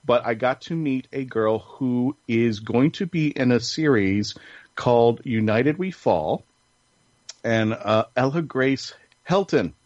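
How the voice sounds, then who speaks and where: A man's voice: mid-range voice, expressive, takes it slowly, a little mellow, no echo. A man hosting a casual panel podcast, chatty and rambling, with enthusiasm. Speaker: podcast host. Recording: dull lo-fi sound.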